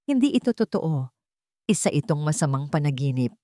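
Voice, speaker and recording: A woman's voice: low voice, sing-song, a little fast, warm tone, dry recording, studio quality. A woman voicing a distraught, bewildered young bride-to-be in an audio romance, pleading and vulnerable. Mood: disbelieving.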